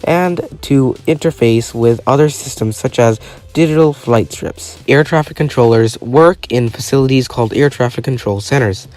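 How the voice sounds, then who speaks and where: A man's voice: mid-range voice, moderate pace, darkish tone. A man reading a scripted educational narration in a formal, matter-of-fact manner, occasionally stumbling over a word. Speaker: narrator of an explainer video. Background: music.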